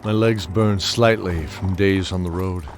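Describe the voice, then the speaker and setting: An actor playing a weary, wistful old knight who tells his tale in measured, theatrical fantasy audio drama. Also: low voice, steady tone, slight background noise. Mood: wistful, earnest. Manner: measured, theatrical, chuckling reminiscence. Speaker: narrator and lead character. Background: music under the voice.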